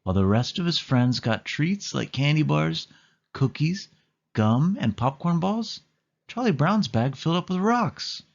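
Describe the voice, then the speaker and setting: A man's voice: a little high, big pitch swings, measured pace, vocal fry, muffled recording. A man narrating a children's storybook as a scripted read-aloud.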